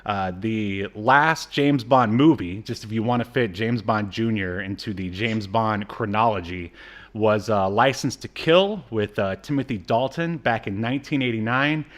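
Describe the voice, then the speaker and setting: A man's voice: on the low side, unhurried. A man hosting a podcast, chatting casually and playfully about pop culture, with some chuckling in his delivery. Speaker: podcast host. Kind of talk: casual banter about old cartoons.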